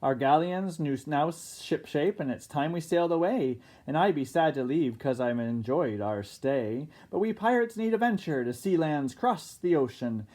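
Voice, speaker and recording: A storyteller voicing a friendly young pirate boy, explaining himself in an earnest, gentle, wistful way. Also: mid-range voice, lively intonation, moderate pace, few pauses, lightly acted.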